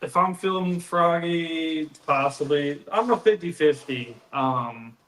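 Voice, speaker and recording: A man's voice: on the high side, slow pace, a bit bright, echoey room, low-quality recording. A man co-hosting a live stream in casual chat, easygoing and rambling, saying "dude" a lot and sounding tired.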